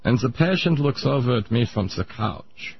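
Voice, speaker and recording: A man voicing a pompous old male Viennese psychoanalyst, lecturing in a smug, drawn-out way. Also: mid-range voice, lively intonation, vocal fry, husky, highs cut off, pitched below the performer's own voice.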